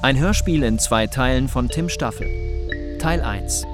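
A man's voice: mid-range voice, measured pace. A man formally reading scripted radio credits as an announcer. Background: music with chimes and mallets.

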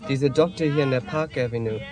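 A man's voice: medium pitch, fast, music in the background, lightly acted. An actor playing a flirtatious, world-weary transgender woman sex worker in a radio drama, teasing and frank, with campy endearments. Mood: frank, wry. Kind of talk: dialogue-driven radio drama acting.

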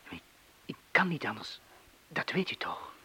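An actor with a Flemish accent playing an anxious, protective man in a radio drama, speaking haltingly and urgently. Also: fairly high pitch, big pitch swings, sharp tone, whispered, exaggerated voice. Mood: subdued. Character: anxious, protective man urging his lover to leave. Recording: hissy old radio play recording.

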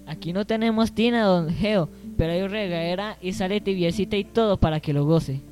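A woman's voice: mid-range voice, a little fast, darkish tone, soft background music, lightly acted. A woman playing a cheeky, bossy small-town youngster in a radio drama, with a Colombian accent and a colloquial, teasing way of talking. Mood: cheeky, helpful.

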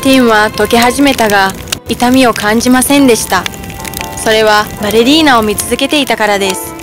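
High-voiced woman narrating a fairy tale. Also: a little fast. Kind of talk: scripted children's storybook narration. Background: music.

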